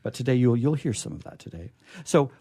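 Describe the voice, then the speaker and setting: A man hosting a readings show in a chatty, off-the-cuff style, rambling and halting, with jokey asides. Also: medium pitch, animated, creaky, dark tone, breathy edge, no room sound. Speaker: host and reader of a radio players' show.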